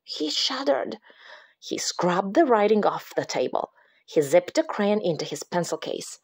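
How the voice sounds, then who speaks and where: A mother reads a spooky picture book aloud at an unhurried pace. Her pitch rises and falls with lively expression. Now and then she slips into a theatrical whisper. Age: adult. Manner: dramatic, drops to whispers for creepy moments. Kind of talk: expressive read-aloud storytelling.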